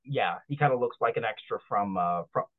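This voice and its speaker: A man from Nova Scotia, a comedian talking in an interview, rambling and halting with many fillers. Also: on the low side, lively intonation. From Nova Scotia, Canada. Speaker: comedian guest. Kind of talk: casual interview. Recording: tinny phone line.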